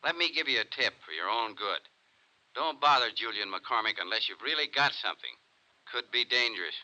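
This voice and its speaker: A man's voice: mid-range voice, animated, fairly quick, sharp tone, poor audio. A man acting in a 1950s radio drama as a chummy, eager insurance company man with a familiar manner. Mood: confidential warning.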